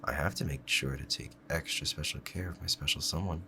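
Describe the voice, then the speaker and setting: A man playing a doting, obsessive kitsune husband in an intimate ASMR roleplay, speaking softly and clingily to the listener. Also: very deep voice, fairly quick, slight background noise, heavily acted. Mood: tender, coaxing. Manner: soft, clingy, adoring, hesitant.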